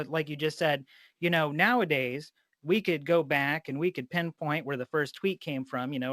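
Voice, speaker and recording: A man's voice: fairly high pitch, a bit bright, no room sound, clean studio sound. A middle-aged man hosting a casual interview, talking in a rambling, unfiltered way with some swearing. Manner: rambling, profane.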